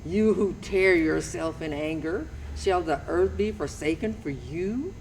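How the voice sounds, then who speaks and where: A woman's voice: fairly low pitch, unhurried, darkish tone. A woman playing an indignant, challenging friend in an amateur church Bible drama, reciting emphatically. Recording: distant from microphone, faint noise.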